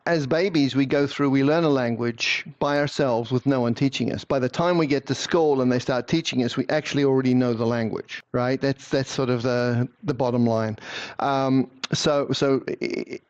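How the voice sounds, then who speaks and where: A man of middle pitch gives long, explanatory interview answers. He often stumbles over repeated words before he finds his sentence. The recording sounds slightly dull and muffled. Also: steady tone, moderate pace. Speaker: guest, language-learning speaker.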